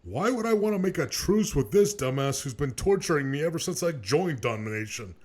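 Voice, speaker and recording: Man playing a vain, theatrical, highly animated cartoon guy. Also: fairly quick, slight background noise, natural voice. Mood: scornful. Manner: theatrical, whiny, boastful. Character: vain, cowardly, excitable man who insults his rival. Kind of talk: comedic cartoon voice acting.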